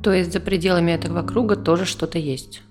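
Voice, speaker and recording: A woman in her thirties speaking as a therapist in an audio drama session, measured and gently questioning. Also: lowish voice, steady tone, music in the background. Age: 30s. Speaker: gestalt therapist.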